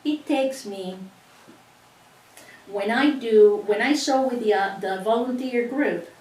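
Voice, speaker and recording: A woman's voice: on the high side, slow, darkish tone, recorded from a distance. A middle-aged woman with a Cuban accent teaching a sewing class, explaining each step in a chatty way with frequent restarts. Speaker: sewing instructor. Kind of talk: hands-on teaching demonstration. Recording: echoey room, voice away from the mic, faint ambience.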